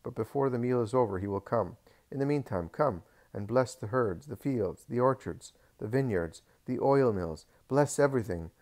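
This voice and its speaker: A man voicing a greedy, proud rich landowner with a squeaky, fox-like voice, pleading and boasting. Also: expressive, normal speed, a little mellow, lightly acted. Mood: evasive.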